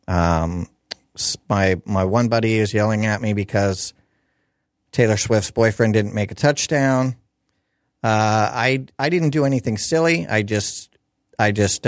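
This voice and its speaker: Hoarse, chatty radio host, slightly low voice. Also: male voice, no room sound, clean studio sound. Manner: rambling, chatty, many fillers and restarts. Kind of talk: casual call-in radio talk.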